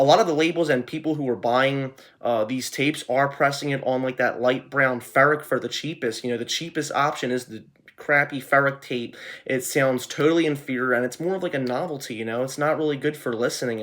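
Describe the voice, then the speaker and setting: A man hosts a video with loose, unscripted commentary. His voice sits at an average pitch for a man. He talks conversationally and tends to ramble from one thought to the next. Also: fairly bright tone. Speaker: music video host.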